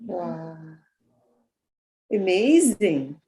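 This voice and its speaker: A woman's voice: lowish voice, very animated, very slow, mellow. A woman hosting an interview who asks her guest encouraging questions. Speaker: interview host. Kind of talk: casual interview conversation.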